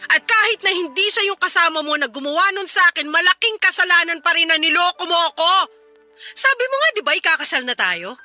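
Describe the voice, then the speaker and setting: A woman's voice: very high voice, very animated, fast talker, pushed and bright, faint music behind, full character voice. A woman voicing a wounded, sarcastic fiancée scolding her cheating man in a Filipino-accented radio drama. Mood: hurt, scolding.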